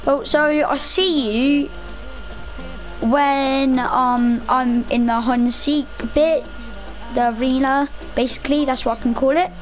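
A young woman chatting casually over her own gameplay, rambling to herself, her voice a little rough from a cough. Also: high voice, fairly even intonation, slow pace, faint music behind, poor audio. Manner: chatty, rambling, self-talking. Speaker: gaming video creator.

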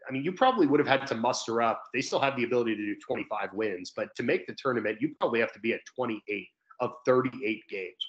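Young male sports broadcaster, mid-pitched, chatty and explanatory, guesting over a video call. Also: dull audio. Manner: talkative, explanatory. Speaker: sports play-by-play commentator as guest. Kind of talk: conversational sports interview. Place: indoor room at home, video call.

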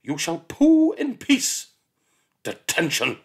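Storybook villain: male reader doing a shrill, bellowing elderly headmistress, cruel and forever enraged. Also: huge pitch swings, a little slow, exaggerated voice. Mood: furious. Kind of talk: audiobook character voice.

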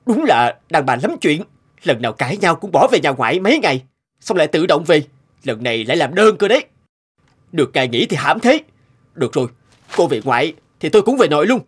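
A man voicing an irritable, neglectful young husband in a cartoon, grumbling and hesitant, with music playing loudly behind him. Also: on the high side, big pitch swings, a little fast, slightly rough. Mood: annoyed grumbling. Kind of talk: animated cartoon voice acting. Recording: loud background music over voice.

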